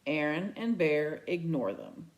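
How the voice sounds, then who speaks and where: A woman's voice: low voice, very animated, slow pace, low-quality recording. Woman reading a novel aloud. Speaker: read-aloud reader.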